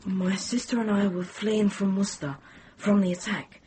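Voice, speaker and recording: A performer in a radio drama playing an earnest, frightened young boy, gentle and pleading. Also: medium pitch, flat delivery, normal speed, a little hiss or hum, close to an everyday voice. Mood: gentle, coaxing.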